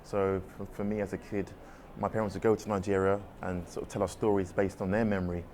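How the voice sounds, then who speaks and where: Artist guy, deep voice, talks fast, lots of sort of and kind of. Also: flat delivery, darkish tone, slight background noise. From London, England. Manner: rambling, hedging with "sort of" and "kind of". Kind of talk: casual interview answers. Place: art exhibition space.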